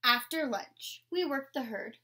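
A young adult woman reading a picture book aloud like a teacher, in a measured, instructional way. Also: sits high, expressive, bright, forceful tone, husky. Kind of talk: picture-book read-aloud with short explanations. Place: indoor room with painted mural wall.

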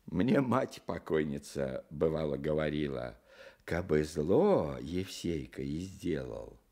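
A man's voice: extremely low pitch, big pitch swings, slow pace, mellow, heavily acted. An actor playing a pious, sorrowful old village stove-builder in a radio play, telling his story earnestly with folksy sighs. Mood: preachy, rueful. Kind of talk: Soviet radio play acting.

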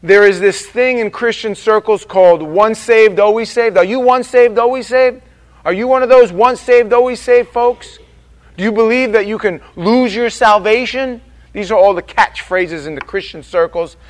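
A man preaching a sermon as pastor, dropping quiet and slow in prayer and rising loud and emphatic on his warnings. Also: high voice.

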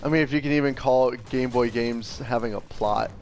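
A man's voice: mid-range voice, moderate pace, darkish tone, slight background noise. A young man chatting casually while playing a video game, slangy and sweary, peppering his talk with "like". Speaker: gaming show host.

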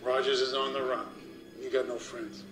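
A man's voice: medium pitch, flat delivery, moderate pace, lightly acted. Man acting echoey stern authority figure. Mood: stern, goading. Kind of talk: film drama acting. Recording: echoey, clear music behind.

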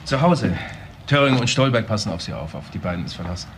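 Lowish-voiced, matter-of-fact police sidekick. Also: male voice, very animated, a little fast, a little hiss or hum. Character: plain-spoken male police detective's colleague. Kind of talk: crime drama dialogue.

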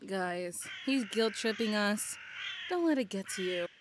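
A woman playing a snarky, wary female police officer in an animated comedy, with a blunt, sarcastic manner. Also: medium pitch, measured pace. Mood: quiet, suspicious.

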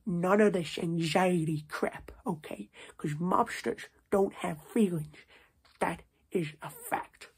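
A young man voicing a stern, scolding New Jersey mob boss in a hushed, husky ASMR roleplay. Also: high voice, slow pace, heavily acted. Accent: put-on New Jersey mobster. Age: 20s. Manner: repetitive, slangy, stern with checking tags. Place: small domestic room, indoors.

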